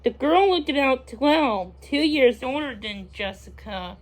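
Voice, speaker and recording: Female read-aloud narrator for a kids' book, high voice, unhurried and halting, with repeated words. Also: animated, low-quality recording. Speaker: storybook reader.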